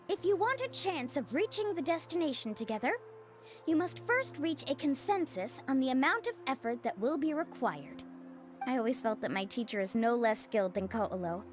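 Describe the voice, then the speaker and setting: A woman playing a friendly, earnest young guide and loyal student in a video game. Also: a little high. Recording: faint music behind the voice.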